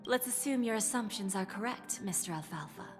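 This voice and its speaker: A woman plays a female corporate envoy in a game story, composed and courteous. Her mid-range voice is measured and poised, with a calculating edge to the politeness, over clearly audible music. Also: medium pitch, expressive, close to an everyday voice. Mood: composed, probing. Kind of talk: video game story dialogue acting. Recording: music behind.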